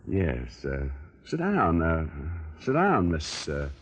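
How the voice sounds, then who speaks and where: An actor playing a flippant American private detective in 1940s radio style, with glib, deadpan quips. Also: male voice, deep voice, slow pace, slightly rough, full character voice. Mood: flippant, teasing.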